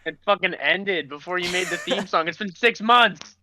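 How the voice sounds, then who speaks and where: A man's voice: high voice, normal speed, bright, forceful tone, a little hiss or hum. A man co-hosting a podcast in casual, slangy banter, joking and self-deprecating, on a slightly lo-fi close microphone. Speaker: podcast co-host.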